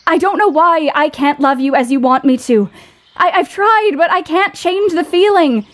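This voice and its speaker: A woman's voice: high voice, animated, normal speed. A woman playing an earnest, stubborn young woman writer in a period audio play. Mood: distressed, pleading.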